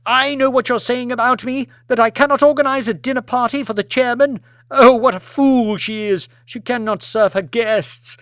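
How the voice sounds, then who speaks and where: A man's voice: very high voice, a bit bright, no echo, pitched above the performer's own voice. A man voicing an imperious, histrionic aristocratic lady with a petulant, tantrum-throwing manner in an audiobook. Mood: furious. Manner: petulant, sobbing self-pity turning to furious outrage.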